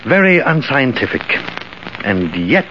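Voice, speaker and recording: An actor playing an older, earnest, visionary scientist who explains things in a deliberate, theatrical, fatherly way in a vintage radio drama. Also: medium pitch, big pitch swings. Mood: confiding. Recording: dull, noisy vintage radio recording.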